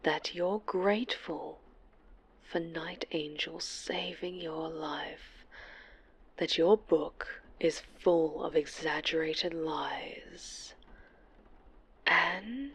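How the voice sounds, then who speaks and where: Woman performing an obsessive female supervillain, ASMR horror roleplay, lowish voice, slow menacing delivery, sugary and breathy, whispery. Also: full character voice. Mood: tender, threatening. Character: obsessive vengeful female superhero villain, sweetly menacing stalker.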